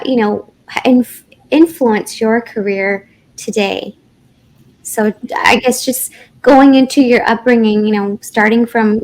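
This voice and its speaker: Higher-voiced woman hosting a podcast. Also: rough recording. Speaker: podcast host, interviewer. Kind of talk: casual interview chat.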